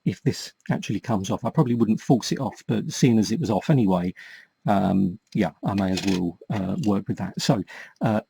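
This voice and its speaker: A man with a slightly husky voice giving a casual step-by-step repair demonstration, explaining patiently and a little hesitantly as he works with his hands. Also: on the low side, moderate pace, dark tone, slight background noise. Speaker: host of a toy repair tutorial.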